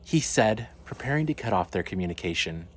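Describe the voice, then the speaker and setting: A man's voice: fairly low pitch, lively intonation, brisk, slight background noise. A man reading scripted narration as the narrator of a fiction podcast.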